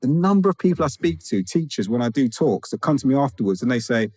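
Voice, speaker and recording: A man's voice: mid-range voice, very animated, quick pace, a little mellow, no echo, clean studio sound. A man from England, a teacher and author, speaking reflectively as a podcast guest, often restarting and building emphasis. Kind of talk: conversational interview.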